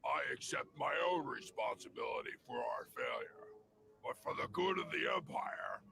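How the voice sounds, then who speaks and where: A man's voice: mid-range voice, huge pitch swings, moderate pace, gravelly, heavily acted. A man playing an aggrieved, formal military captain in a motion comic, with theatrical delivery. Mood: formal, resentful. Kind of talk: dramatic motion comic narration and dialogue. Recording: noisy comic soundtrack played from a video.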